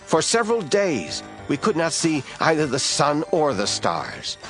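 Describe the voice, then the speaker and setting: Male narrator, scripted storytelling, animated pitch, faint music score. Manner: measured storytelling. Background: soft music score.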